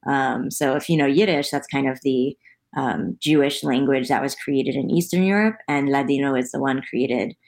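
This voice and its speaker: A woman with a notably low voice, talking as an interview guest. She explains at length and thinks aloud, pausing on frequent ums as she goes. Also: darkish tone. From United States. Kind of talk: conversational interview.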